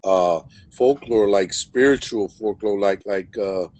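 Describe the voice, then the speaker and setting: A man's voice: lowish voice, takes it slowly, darkish tone. A man hosting a live stream in a casual, rambling way, full of fillers and repeated words. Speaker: host.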